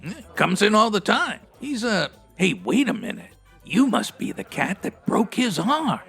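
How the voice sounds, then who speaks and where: A breezy hustler who manages a strip club in a radio detective comedy. His voice is high for a man and gravelly, swooping about as he rolls out hipster slang and florid big words. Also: wildly swooping pitch, measured pace, a bit bright, faint music behind, exaggerated voice. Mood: boastful, jovial. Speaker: actor in a comedy audio drama. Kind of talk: comedic old-time radio detective acting.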